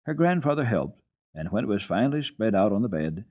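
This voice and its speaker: A man's voice: lowish voice, big pitch swings, fairly quick. A man giving a dramatic, folksy reading of a children's book as a radio storyteller, on a muffled archival recording. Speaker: storyteller, radio program host.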